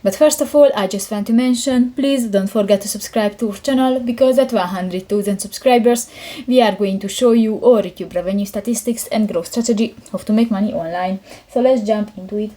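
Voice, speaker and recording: A woman hosting a casual step-by-step app tutorial in a somewhat halting, hesitant way. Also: mid-range voice, warm tone. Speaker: tutorial host.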